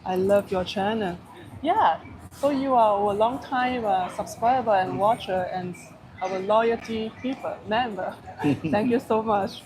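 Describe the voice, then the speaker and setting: A woman's voice: mid-range voice, a little slow, background noise. A woman co-hosting a live travel vlog, chatting casually in simple, broken English over breakfast. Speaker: vlog co-host. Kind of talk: casual live-stream chat. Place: restaurant at breakfast with crowd around. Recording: busy crowd chatter behind.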